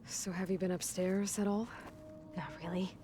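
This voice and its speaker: A woman playing a guarded, earnest young adventurer in a video game, low-key and terse. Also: flat delivery, normal speed, lightly acted. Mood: awkward, guarded. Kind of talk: video game dialogue acting. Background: game music.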